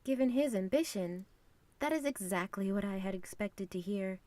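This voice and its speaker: Lady playing composed divine woman, frank, formal wording, medium pitch, dry audio drama sound. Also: expressive, warm tone, dry recording, natural voice. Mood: frank, teasing. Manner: measured, formal phrasing.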